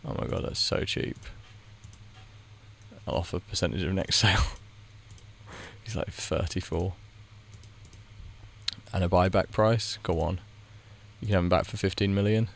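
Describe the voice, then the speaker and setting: A man's voice: deep voice, measured pace, creaky, long pauses, a little hiss or hum. A man giving casual, rambling commentary while he plays a game, muttering his reactions as he goes. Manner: thinks aloud, muttering reactions to the screen; rambling, with frequent restarts. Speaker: gaming video creator.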